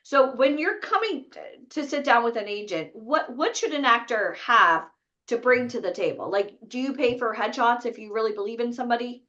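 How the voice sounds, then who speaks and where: A woman's voice: a little high, expressive, normal speed, sharp tone. Upbeat woman webinar host, muffled sound. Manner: upbeat, emphatic, explains for the audience. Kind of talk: live webinar hosting.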